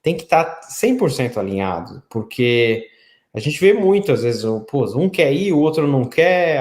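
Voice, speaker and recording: A man's voice: mid-range voice, darkish tone. Brazilian man hosting, in an echoey room. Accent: Brazilian Portuguese. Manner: explanatory, informal. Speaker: interview host. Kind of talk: casual conversational interviewing. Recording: roomy, echoey sound.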